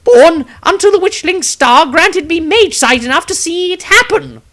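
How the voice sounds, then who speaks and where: High-pitched, strained wizard. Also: male voice, expressive, normal speed, a bit bright, heavily acted. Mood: strained, defensive. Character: shaken, defensive male wizard pleading his innocence. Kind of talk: fantasy audiobook character acting.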